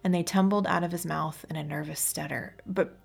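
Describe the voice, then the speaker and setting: A woman's voice: sits low, fairly even intonation, fairly quick, vocal fry, husky. A woman reading a story aloud as scripted audiobook narration. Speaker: audiobook reader.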